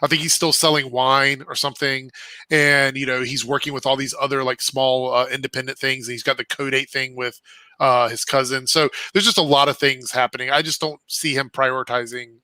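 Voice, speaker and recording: Casual co-host, man, bright forceful tone, dry close sound, loose rambling delivery. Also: mid-range voice, flat delivery, brisk, no room sound. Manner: rambling, many fillers like "like" and "um". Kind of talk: casual podcast chat.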